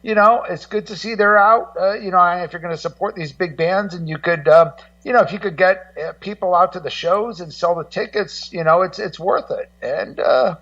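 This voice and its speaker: A middle-aged American man talking casually as a guest co-host in a radio discussion, in a rambling, halting way full of "you know". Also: high-pitched, fairly bright tone, distant mic, lo-fi sound. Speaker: guest co-host and rock music journalist.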